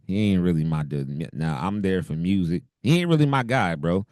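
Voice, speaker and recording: This is a man with a very low, husky voice, hoarse from a cold, talking on a podcast. He chats loosely with plenty of slang and easy laughter. The sound is dry and close, with no room around it. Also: lively intonation, moderate pace, a little mellow, dry recording. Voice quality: deep voice. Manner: laid-back, laughs often, loose slang. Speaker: podcast co-host. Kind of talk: casual chat.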